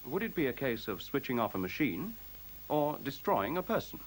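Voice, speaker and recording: A man's voice: mid-range voice, big pitch swings, lightly acted, low-quality recording. Serene, articulate machine intelligence, acted by a man, swooping intonation. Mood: calmly reasoning. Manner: measured, formal, articulate, pedantic. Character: newborn machine intelligence, male-voiced, serene, superior, coolly logical. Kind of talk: 1970s science fiction radio play acting. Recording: faint hiss, 1970s radio recording.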